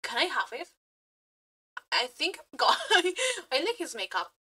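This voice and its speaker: High, bright-voiced excitable woman streamer. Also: measured pace. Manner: excitable, giggly, swearing fangirl. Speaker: streamer reacting to game character demo videos. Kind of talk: casual live reaction chat. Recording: music behind the voice.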